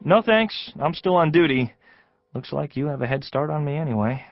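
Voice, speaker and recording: An actor playing an easygoing, reassuring investigator in an audio drama, casual, wry and steady. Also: male voice, fairly high pitch, moderate pace, creaky, dull audio, soft background music. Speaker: actor in a radio play. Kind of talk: audio drama acting with noir-like narration.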